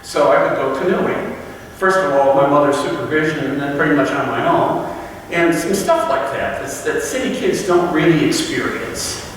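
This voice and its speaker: A man's voice: on the high side, lively intonation, fairly bright tone, a little hiss or hum. Man speaking from far off in an echoey hall. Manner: halting, searching for words, many restarts. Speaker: fellowship member giving a talk. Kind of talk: personal reflective talk.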